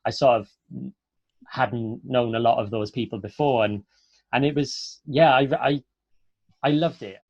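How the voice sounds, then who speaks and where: A Welsh man speaks at a middling pitch, chatty and earnest, like an actor encouraging students in an informal interview. The sound is close and dry, a slightly muffled webinar recording. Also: fairly even intonation, unhurried, pauses often, darkish tone, husky, dry recording. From south Wales.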